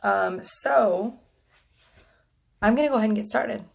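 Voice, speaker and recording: Casting note: woman tarot reader, scratchy allergy-congested voice, apologetic and rambling, mid pitch, recorded on a phone. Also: a little slow, gravelly, slightly rough. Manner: rambling, apologetic, with frequent ums and whispered asides. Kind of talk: casual tarot reading to camera. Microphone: phone camera.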